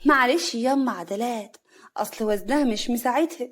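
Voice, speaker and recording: A spiteful young sister-in-law, put on by a female storyteller, talks in a high voice with an Upper Egyptian accent. She is vain and sly, needling and taunting as in a family melodrama tale. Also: brisk, lightly acted. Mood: sly mockery.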